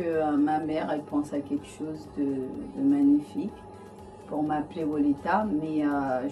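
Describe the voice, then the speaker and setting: A woman from Guyana, English her first language, speaking French with a Caribbean English accent, a singer answering interview questions hesitantly with many fillers and restarts. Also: low voice. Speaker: singer-songwriter guest. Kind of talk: studio interview.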